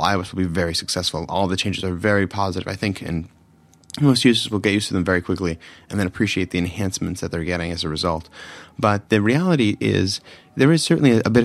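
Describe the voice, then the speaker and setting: Low, slightly raspy man hosting a podcast. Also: dry recording. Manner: rambling, self-correcting, many fillers. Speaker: podcast host. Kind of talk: solo tech podcast talk.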